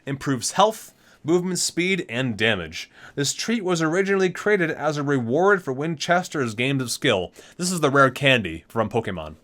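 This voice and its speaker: Male ASMR reader, medium pitch, lively intonation, expressive recitation with offhand asides. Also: normal speed. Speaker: video creator reading aloud from a game guide book. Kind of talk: ASMR reading with casual asides.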